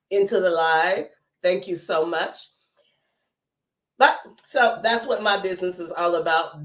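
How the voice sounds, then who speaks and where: A woman with a hoarse, cold-roughened voice hosting a live news overview and chatting with viewers. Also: lowish voice, reverberant. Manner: chatty, greets viewers by name. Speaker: host.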